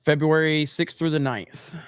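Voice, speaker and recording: A man co-hosting a live stream, excitable and quick to laugh, making plans for a fan meetup. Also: fairly high pitch, clean tone, dry recording, clean studio sound. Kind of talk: casual live-stream chat.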